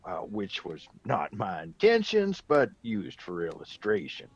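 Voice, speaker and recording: An actor playing a gruff old soldier back from India, a rambling, emphatic storyteller fond of whiskey, in a radio drama. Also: male voice, lowish voice, huge pitch swings, measured pace, no room sound, highs cut off, full character voice. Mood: boastful, insistent.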